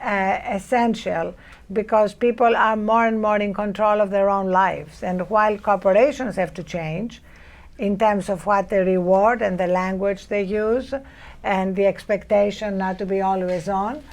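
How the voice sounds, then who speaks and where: A woman's voice: mid-range voice, fairly even intonation, unhurried. Greek-accented woman on a panel. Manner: hesitant, many fillers and restarts. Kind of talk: panel discussion.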